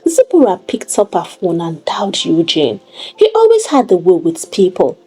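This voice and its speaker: A woman narrating a dramatic scripted story in an expressive, deliberate storytelling manner. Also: medium pitch, slightly rough, rough recording.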